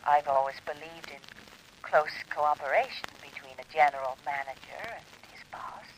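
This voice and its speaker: A woman's voice: sits low, normal speed, close to an everyday voice. An actress playing a teasing, flirtatious woman boss in a coy, playful 1950s radio drama style. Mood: coy, seductive. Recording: noisy vintage radio recording.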